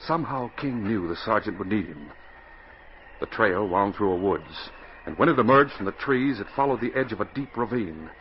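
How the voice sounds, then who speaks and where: A man's voice: on the low side, expressive, moderate pace. Muffled vintage radio narrator, a man. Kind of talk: dramatic scripted 1940s radio narration. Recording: muffled, noisy 1940s radio recording.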